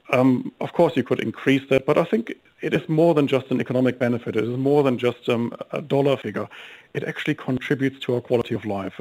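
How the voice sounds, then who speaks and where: A middle-aged man with a German accent speaks quickly as a guest in a radio interview. He argues fluently, with the odd um. His pitch sits in the middle. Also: darkish tone, rough recording. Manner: fluent, brisk, with ums while arguing. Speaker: think tank guest. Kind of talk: interview on a talk radio show.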